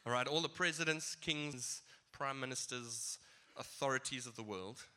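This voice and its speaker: Male preacher with a head cold, nasal and bright, chatty with laughing asides. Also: mid-range voice, normal speed. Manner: casual, chuckling asides. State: stuffy blocked nose. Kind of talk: sermon.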